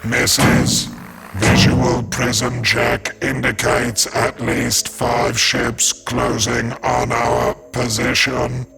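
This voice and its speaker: A man voices a mechanical ship's butler in a retro sci-fi audio drama. He speaks very slowly and gravelly, with utterly level pitch. His manner is formal and unflappable, with music underneath. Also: heavily acted. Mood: deadpan. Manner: deadpan, formal, monotone. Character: formal, unflappable male robot butler aboard a spaceship.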